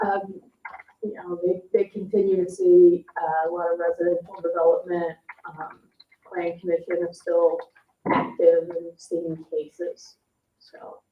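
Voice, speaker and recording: Woman, staff report, lowish pitch, slow halting delivery, distant muffled microphone. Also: little pitch movement. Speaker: town development staff giving report. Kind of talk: halting spoken status report. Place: indoor public meeting room. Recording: echoey room, distant mic, muffled, noisy.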